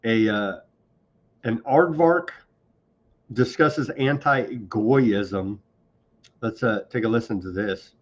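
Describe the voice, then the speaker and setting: A man's voice: on the low side, slow pace, pauses often. A man hosting a political commentary show, talking in a sarcastic, conversational way. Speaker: host. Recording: dull lo-fi sound.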